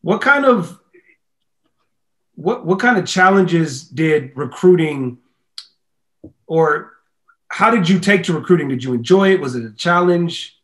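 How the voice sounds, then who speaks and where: A man's voice: a little high, animated, unhurried, long pauses, a bit bright. A man interviewing a guest casually as host, feeling his way into questions and rephrasing them.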